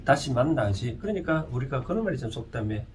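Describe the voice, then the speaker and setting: Male lecturer, Gyeongsang Korean accent, very lively intonation, halting informal delivery full of rhetorical questions, recorded at a distance from the mic. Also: medium pitch. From Gyeongsang region, South Korea. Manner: halting, often asks listeners rhetorical questions. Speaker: lecturer and counsellor. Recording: distant mic with faint background noise.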